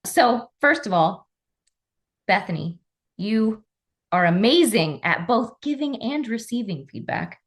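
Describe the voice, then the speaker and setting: A woman's voice: fairly high pitch, sing-song, slow. Woman hosting a casual work podcast. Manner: friendly, self-deprecating, leads the conversation. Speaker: podcast host.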